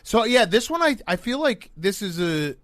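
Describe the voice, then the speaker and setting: Higher-voiced man hosting casual sports chat. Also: sing-song, moderate pace, a bit bright, no room sound. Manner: informal moderator keeping discussion moving. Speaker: podcast host.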